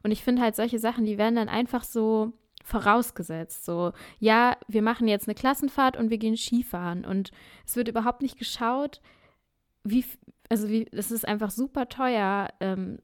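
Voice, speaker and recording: Smooth-voiced woman chatting casually. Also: a little high, normal speed. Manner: hesitant, many fillers. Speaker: podcast co-host. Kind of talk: casual conversation.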